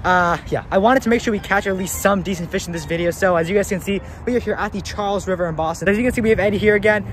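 A young man hosting a fishing vlog outdoors, talking excitedly to a handheld camera as he narrates each catch. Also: sits high, racing, a little hiss or hum. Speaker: fishing vlogger host. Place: outdoors by a pond and city river.

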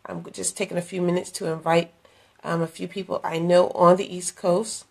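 A middle-aged woman, hoarse from a cold, chatting earnestly into a phone at arm's length in a dim room, inviting viewers to an event. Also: fairly low pitch, little pitch movement, moderate pace. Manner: chatty, earnest, with many fillers and restarts. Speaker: ministry leader promoting an event. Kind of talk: casual live-stream invitation. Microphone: phone held at arm's length.

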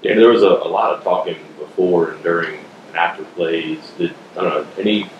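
A man's voice: low voice, a little fast, lo-fi sound. A man, a sports reporter putting questions at a press conference. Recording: echoey room, faint noise.

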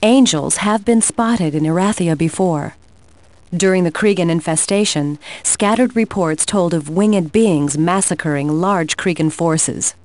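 A woman playing a resolute, grave warrior queen in a video game, giving war briefings in a measured, formal, commanding way. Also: fairly low pitch, a little mellow, no room sound, close to an everyday voice.

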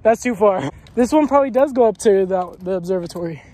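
A young man vlogging a hike into his phone outdoors, excitable and laughing often as he talks to the camera. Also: high-pitched, fast. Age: young adult. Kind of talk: casual hiking vlog. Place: outdoors on a hillside trail. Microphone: phone held at arm's length.

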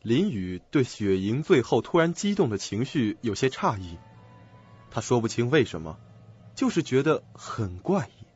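A man's voice: mid-range voice, big pitch swings, moderate pace, darkish tone, slightly rough. A man narrating a suspense radio drama from a script. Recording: dull lo-fi recording. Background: music.

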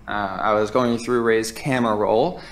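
A man's voice: fairly low pitch, steady tone, normal speed, lightly acted. An actor playing a flustered, nerdy young male editor in an audio drama. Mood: musing, eager. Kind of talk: audio drama, spoken recorded monologue.

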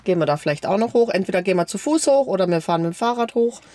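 Woman in her forties, co-host, cheerful quick chatter, lively pitch, in a van. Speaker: travel vlogger. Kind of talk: casual chat. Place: inside a camper van.

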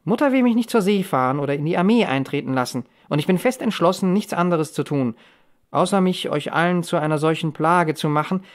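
A woman's voice: fairly low pitch, brisk, lightly acted. A woman reading an audiobook in the role of a defiant, grumbling younger brother in his teens. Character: sulky, cheeky, defiant teenage boy, a younger brother. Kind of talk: audiobook character dialogue.

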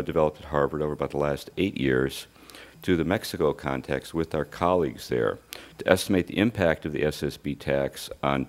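An older man giving a conference presentation at a lectern microphone, his voice lowered from illness, speaking haltingly with many uhs. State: voice lower than normal from illness. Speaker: research presenter.

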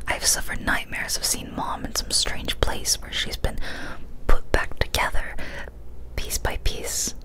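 A young woman whispering softly and breathily, gently and soothingly, close to the microphone in an ASMR style. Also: poor audio. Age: young adult. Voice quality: soft, breathy unvoiced whisper. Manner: slow, soothing, gentle delivery. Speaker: ASMR host. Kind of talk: ASMR whispered story reading and chat. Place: small decorated room indoors. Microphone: close studio microphone on an arm.

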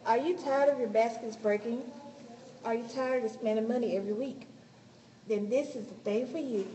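Punchy promo announcer played by a young woman, highish. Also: moderate pace, mellow, a little hiss or hum, natural voice. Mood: selling. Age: young adult. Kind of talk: mock advert in student radio play. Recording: slightly distant, music behind.

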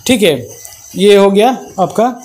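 A man's voice: high-pitched, expressive, takes it slowly, a little mellow, slight background noise. A middle-aged man who runs a fish farm, explaining his fish to a visitor in a chatty way and walking through examples, in an indoor room full of tanks, speaking into a handheld mic.

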